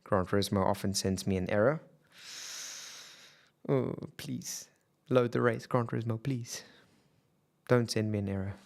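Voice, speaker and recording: A man's voice: fairly low pitch, measured pace, vocal fry, long pauses. A man with a South African accent, chatting and thinking aloud as he streams a sim racing game. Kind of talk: live gameplay commentary. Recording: loud racing game engine noise behind. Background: loud car engine sounds from the game.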